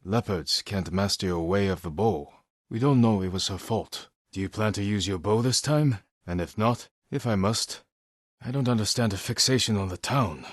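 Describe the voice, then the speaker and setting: Man playing honourable samurai warrior, low husky voice, measured and solemn, clipped phrasing, dramatic video game acting. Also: no echo, studio quality, lightly acted. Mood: calm, resolute. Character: honourable, stoic samurai warrior, grimly resolute leader. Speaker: video game lead character.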